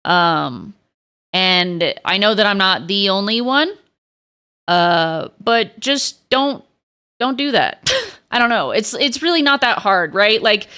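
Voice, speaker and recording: A young woman with a crackly, lower voice, chatting frankly with stream viewers and swearing freely. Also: lively intonation, slow, pushed and bright, clean tone. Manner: frank, swears a lot, many restarts. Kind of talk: casual chat to stream viewers.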